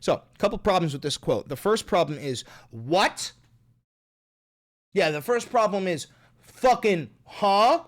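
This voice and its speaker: An excitable political commentary host on a sarcastic, profane rant. The voice swings up and down with a lively, animated pitch, jumping high when mocking and dropping low for asides. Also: a little slow. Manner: excitable, sarcastic, swearing, repeats punchlines.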